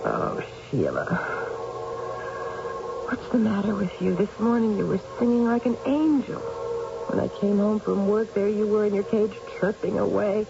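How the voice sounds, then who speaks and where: A woman's voice: medium pitch, sing-song, normal speed, vocal fry, music in the background. An actress playing a lonely, guilt-ridden, sleepless middle-aged mother in an old-time radio drama, fretful and brooding. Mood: lonely, pleading. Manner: fretful, brooding, self-pitying. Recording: dull, noisy old radio recording.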